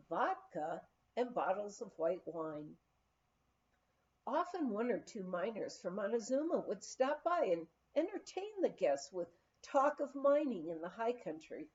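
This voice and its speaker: A woman reading a memoir aloud in an expressive storytelling style. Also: mid-range voice, muffled recording. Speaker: audiobook reader.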